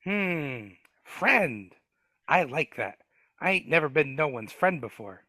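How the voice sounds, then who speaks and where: A grumpy, cowardly dwarf grumbles in broken grammar , as a man plays him. His voice is bright and tight, sitting a bit high. The sound is dry and close, from a home mic. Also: big pitch swings, takes it slowly, no room sound, heavily acted. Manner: gruff, ungrammatical speech. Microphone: home mic on a video call.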